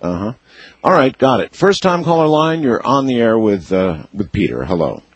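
A man's voice: medium pitch, big pitch swings, normal speed, slightly rough, no room sound. A man hosting a radio call-in show, jumping in with short questions and prompts while taking callers. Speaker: radio talk show host. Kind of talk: late-night radio call-in chat.

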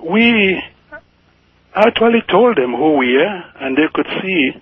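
A man's voice: high-pitched, animated, breathy edge, dry recording. Nigerian man on a muffled phone line. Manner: speaks slowly and carefully, with many fillers. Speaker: caller.

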